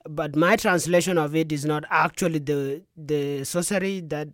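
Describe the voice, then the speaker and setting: A young man from South Sudan with a South Sudanese accent and Dinka as his first language, a musician guest talking softly in a radio studio interview. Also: fairly high pitch, fairly even intonation, normal speed, no room sound. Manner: soft-spoken, frequent "yeah" and "you know".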